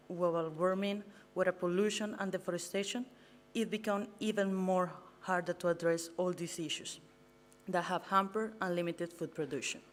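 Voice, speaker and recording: A young woman from Colombia with a Colombian Spanish accent, giving a prepared talk to an audience as a master's student, earnest and a little nervous, stumbling on some words. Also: fairly low pitch. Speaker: guest speaker, master's student. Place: event venue.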